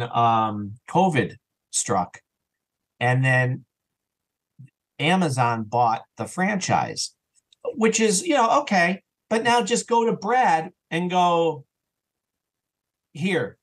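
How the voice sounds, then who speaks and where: A man chatting casually and enthusiastically as an interview guest, rambling and animated, sometimes acting out bits of dialogue. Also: fairly high pitch, takes it slowly. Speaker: interview guest, audio drama writer and actor.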